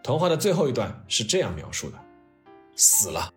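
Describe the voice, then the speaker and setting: A man hosting a history storytelling podcast, reading scripted narration in an expressive, reflective way with rhetorical questions. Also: medium pitch, normal speed. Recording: music playing behind the voice. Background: soft piano and orchestral music.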